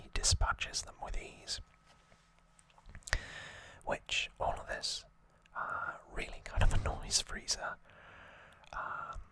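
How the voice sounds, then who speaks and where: A person whispering softly throughout in an unhurried, informal, explanatory ASMR recap style. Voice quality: soft unvoiced whisper. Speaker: ASMR creator and host.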